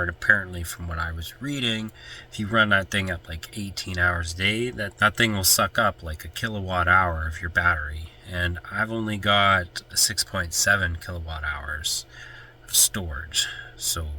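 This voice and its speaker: A man's voice: low voice, bright, forceful tone, slight background noise. A man with a stuffy, congested nose, chatting casually and rambling as he vlogs from his van life travels. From Canada. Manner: chatty, rambling, easily sidetracked. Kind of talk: casual vlog chat to camera.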